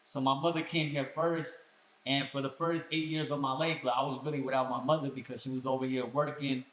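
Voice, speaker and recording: A young man from Colombia, a guest musician telling his story in a casual podcast chat with relaxed street slang. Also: medium pitch, fairly quick. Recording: echoey room.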